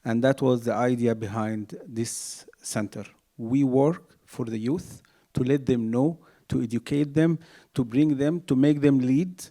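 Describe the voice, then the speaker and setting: Soft-toned man with halting speech. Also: medium pitch. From Egypt. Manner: halting, many fillers, rising emphasis. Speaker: panelist. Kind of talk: panel self-introduction.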